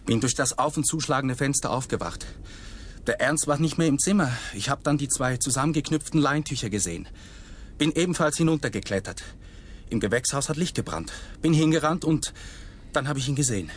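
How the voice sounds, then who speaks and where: A man's voice: medium pitch, animated, brisk, slight background noise, close to an everyday voice. An actor playing an anxious, guilt-ridden young man in a radio drama, explaining himself earnestly. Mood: shaken, explaining. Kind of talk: German radio drama acting.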